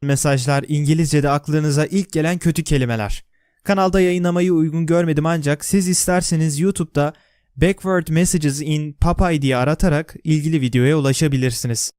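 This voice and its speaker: Turkish guy narrating an explainer, slightly high voice, really dry close sound. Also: fairly quick, a little mellow, clean tone, no room sound. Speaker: video narrator.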